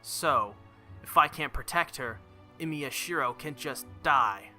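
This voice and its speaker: Male let's-play reader, very bright forceful voice, dramatic visual novel narration over music. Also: mid-range voice, animated, a little slow. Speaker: let's play video host. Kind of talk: reading visual novel text aloud.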